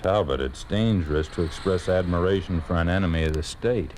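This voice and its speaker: An actor playing a stern, suspicious communist secret police officer in a 1950s radio drama, curt and officious. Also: male voice, low voice, brisk, darkish tone, a little hiss or hum, lightly acted. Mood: irritated, suspicious.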